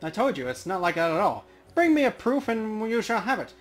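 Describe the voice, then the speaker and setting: A man's voice: high-pitched, very animated, a little fast, faint music behind, voice pushed up. A man voicing an eccentric, grandiose troll mystic who talks courteously to spirits in a video game. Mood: pleading, courtly.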